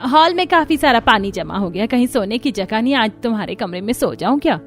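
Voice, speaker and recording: A woman voicing a scolding, scheming middle-aged mother-in-law in an animated moral story, with a nagging, melodramatic delivery. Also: a little high, fairly quick, lightly acted. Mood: pleading.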